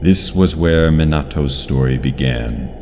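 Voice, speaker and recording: A man narrating an audio drama as a grave storyteller, in a solemn, measured manner. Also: extremely low pitch, a little mellow. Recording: long reverb, faint background noise.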